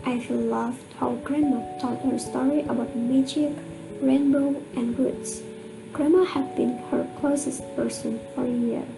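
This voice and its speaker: Radio drama narrator: woman, high creaky voice, scripted storytelling. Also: fairly even intonation, measured pace, rough recording. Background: music.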